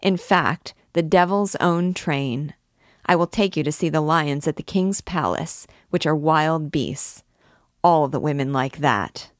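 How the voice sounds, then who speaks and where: A woman's voice: low voice, measured pace, no echo. A glib young cavalry captain, played by a woman narrator, wooing in a storybook. He speaks gallantly and effusively, full of boasts, in a dry, close sound. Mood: boastful, wooing. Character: vain, amorous young male cavalry captain, glib flatterer. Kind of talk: audiobook character dialogue.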